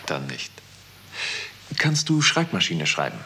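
An actor playing a cynical, unruffled office clerk in a 1960s German radio drama, sardonic and insinuating. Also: male voice, sits low, wildly swooping pitch, takes it slowly, pushed and bright, heavily acted. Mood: casual, insinuating. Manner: sardonic, insinuating, coolly didactic. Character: cynical, unruffled male clerk of a murderous bureaucracy. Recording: faintly hissy 1960s radio recording.

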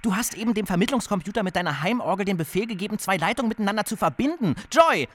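Excitable, stammering, high-voiced tinkerer guy. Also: quick pace, a little hiss or hum. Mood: amazed, excited. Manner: stammering, breaking off and repeating words. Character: excitable young male telephone tinkerer, easily amazed and flustered. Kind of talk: naturalistic German radio drama dialogue.

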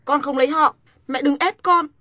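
A woman's voice: high-pitched, steady tone, normal speed, bright, forceful tone, no echo, studio quality. An actress playing a stubborn young daughter who pleads with her mother in a family drama. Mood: defiant. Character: headstrong young woman defying her mother. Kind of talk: radio-style family drama.

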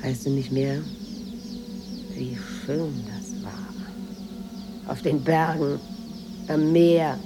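An actress in a radio drama playing a watchful maid, deferential on the surface but measured and needling. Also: female voice, low-pitched, fairly even intonation, background noise. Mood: deferential, coaxing. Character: watchful, insinuating Moroccan maid, outwardly deferential, quietly defiant. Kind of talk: 1980s German radio drama acting.

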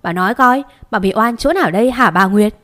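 A woman's voice: mid-range voice, fairly quick, lightly acted. Woman voicing a furious stern husband. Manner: shouting, interrogating. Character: stern angry wealthy older husband, commanding.

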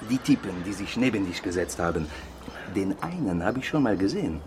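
An actor playing an easygoing, playful French journalist with a French accent in a radio drama. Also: male voice, sits low, animated, fairly quick, over music. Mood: confiding.

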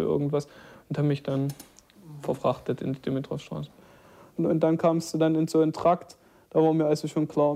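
A man's voice: fairly high pitch, dark tone. A man recounting personal experiences informally, in colloquial run-on sentences. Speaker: eyewitness recalling his arrest. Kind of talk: informal spoken recollection.

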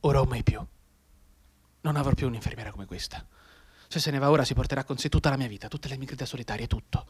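An actor playing a desperate bedridden mute soldier's inner thoughts in a theatrical radio monologue, halting and repetitive, with volatile emotion and breathy delivery. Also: male voice, mid-range voice, a little hiss or hum, lightly acted. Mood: desperate pleading. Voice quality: husky, breathy, often dropping to a whisper.

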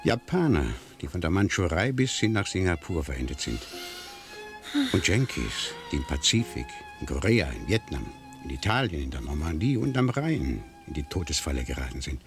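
A worldly, sardonic reporter from a seventies radio play. His very low voice moves with lively swings and dry emphasis. Music plays clearly behind him. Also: moderate pace. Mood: grave, bitter. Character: worldly, sardonic French war reporter at a spa. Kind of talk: 1970s German radio play acting.

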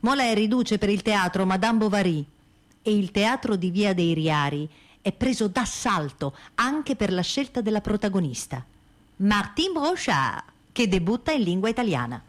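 A woman's voice: medium pitch, big pitch swings, moderate pace. A woman reading a scripted theatrical narration as a stage narrator.

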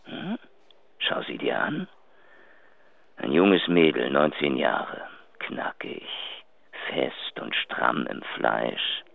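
An actor playing a cruel, contemptuous adulterous man in a German radio drama, taunting with mocking, drawn-out emphasis. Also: very deep voice, big pitch swings, vocal fry, no echo, heavily acted. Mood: sneering, cruel. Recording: tinny narrow phone-like sound.

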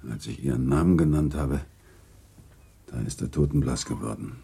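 A grave gentleman of a literary radio play, with an extremely deep voice. The tone is dark and somewhat husky. He speaks courteously and formally, measuring his words with quiet resignation. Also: normal speed, exaggerated voice. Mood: sober, moved. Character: grave, courteous gentleman, confidant and second in a duel. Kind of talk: German radio drama acting.

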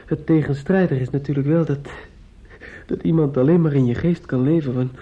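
A man's voice: a little high, fairly even intonation, brisk, breathy edge. An actor playing a grief-stricken, restless young man in a radio play, stammering and repeating himself, often dropping to a whisper. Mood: distraught. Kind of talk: intimate radio play acting. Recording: muffled, noisy old radio drama recording.

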